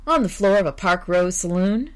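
A woman voicing a suave, calm male amateur detective who reasons things out deliberately. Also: fairly low pitch, clean tone, lightly acted. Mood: calm reasoning.

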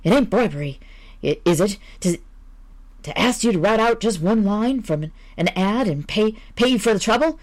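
A woman's voice: medium pitch, expressive, normal speed, a little mellow, voice pushed up, natural voice. A woman voicing a desperate, terrified male gambler who stammers and babbles his pleas. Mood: panicked pleading.

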